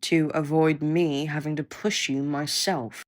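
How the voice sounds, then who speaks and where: A woman's voice: sits low, steady tone, measured pace. A woman acting a snarky, impatient scientist with a blunt, sarcastic manner in an audio roleplay. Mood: grudging, dismissive. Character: snarky, impatient female scientist, grudgingly welcoming.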